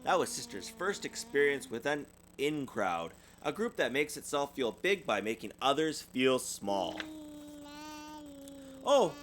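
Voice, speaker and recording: A man's voice: mid-range voice, sing-song, a little slow, few pauses, fairly bright tone, a little hiss or hum. A man reading a children's storybook aloud as a parent would, expressively and with small stumbles. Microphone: USB desktop microphone.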